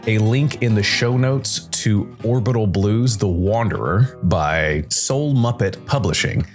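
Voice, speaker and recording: A man with a fairly low voice hosts a solo podcast. He explains and reviews a game in a conversational way. Light music plays behind him. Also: a little slow. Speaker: podcast host.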